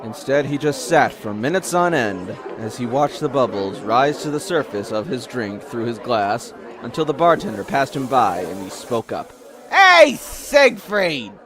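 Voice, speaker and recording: A man's voice: medium pitch, lively intonation, normal speed, hardly any pauses, crowd noise. A man reading scripted story narration for an audio drama as its narrator.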